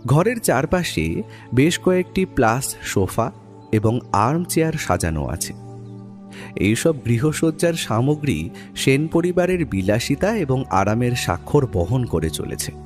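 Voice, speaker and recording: A man narrating a scripted detective audio story in a deliberate, descriptive storytelling manner. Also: mid-range voice.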